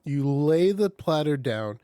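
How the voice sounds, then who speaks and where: A man's voice: fairly high pitch, takes it slowly, no echo, studio quality. A man hosting a casual podcast, swearing freely and laughing a lot as he explains how he packs his leftovers. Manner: animated storyteller, swears often, laughs a lot. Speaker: podcast host. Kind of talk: casual chat.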